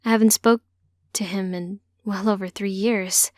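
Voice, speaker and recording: A woman's voice: mid-range voice, steady tone, moderate pace, a little mellow, no room sound, clean studio sound. A woman reading scripted first-person fiction as an audiobook narrator.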